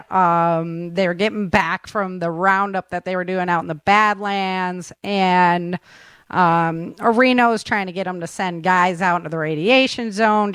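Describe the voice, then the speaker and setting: A woman's voice: lowish voice, fairly bright tone, clear, resonant tone, no echo. An American woman hosting a podcast in a casual, chatty, slangy way. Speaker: podcast host. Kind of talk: casual upbeat podcast chat.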